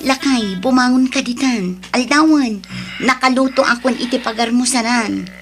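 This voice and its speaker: A woman's voice: a little high, moderate pace, music in the background, natural voice. A woman voicing an overworked young wife who complains sharply about her husband. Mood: exasperated.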